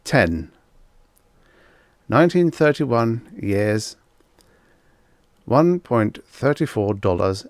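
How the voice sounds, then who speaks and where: A man voicing a pompous professor of the future in an audiobook story, lecturing didactically with declamatory, deliberate emphasis. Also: mid-range voice, big pitch swings, no echo, clean studio sound, exaggerated voice. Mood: pompous, didactic.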